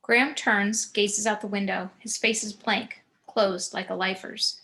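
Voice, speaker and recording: A woman's voice: mid-range voice, steady tone, a little slow, pushed and bright. A woman with a mid-range voice reads stage directions aloud. It is scripted narration for a table read, with an occasional stumble.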